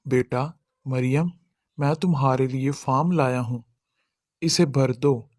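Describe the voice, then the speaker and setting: A man plays a loving, middle-aged father from a poor village in a cartoon moral tale. He speaks earnestly and gently, at an ordinary male pitch. The sound is dry, with no room around it. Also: takes it slowly, no room sound. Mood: caring. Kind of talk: acted children's moral story.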